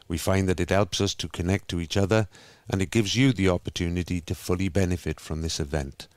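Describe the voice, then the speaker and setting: A Welsh man hosting a video call meetup, reading a steady welcome with small slips. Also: low-pitched, fairly quick, no room sound. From Wales. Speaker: host.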